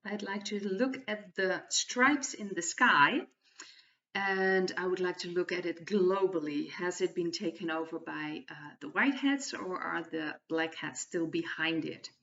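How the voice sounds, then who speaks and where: A Dutch-accented woman with a slightly low voice talks through a card reading for her channel. She speaks hesitantly and often restarts her phrases. She sits a little away from the microphone, and the lo-fi recording sounds somewhat dull. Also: a little slow, fairly bright tone. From Netherlands. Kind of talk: casual spoken tarot reading monologue. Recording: distant, dull lo-fi mic.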